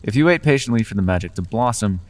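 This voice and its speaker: Man doing a fey lord, charming and manipulative, silky and coaxing, lowish voice, long reverb. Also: expressive, quick pace, natural voice. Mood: welcoming. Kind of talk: ASMR roleplay.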